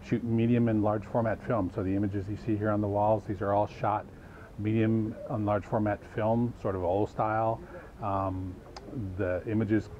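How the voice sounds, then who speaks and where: Mellow low-voiced man explaining photos. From Indiana, US. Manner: plain, matter-of-fact explaining. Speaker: landscape photographer. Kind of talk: artist interview at booth. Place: outdoor festival tent booth. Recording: muffled audio with faint outdoor hiss.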